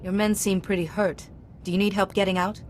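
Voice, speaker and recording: A woman playing a selfless, composed Jedi hero in a video game, speaking in a measured, resolute way. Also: mid-range voice, level tone, a little mellow, a little hiss or hum, lightly acted. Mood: calm, concerned. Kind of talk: cinematic video game voice acting. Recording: music behind the voice.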